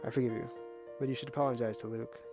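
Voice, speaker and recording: A man playing a calm, gently teasing father in an amateur family audio drama. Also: mid-range voice, quick pace, music in the background, lightly acted. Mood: firm.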